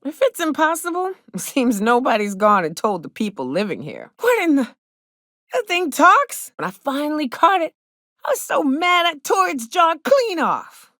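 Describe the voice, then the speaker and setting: An actress voicing a hard-drinking, wry frontier guide in a video game, with a blunt, folksy, sardonic drawl. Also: female voice, high-pitched, very animated, normal speed, a bit bright, dry recording, clean studio sound. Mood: boastful, wry. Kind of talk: video game character dialogue.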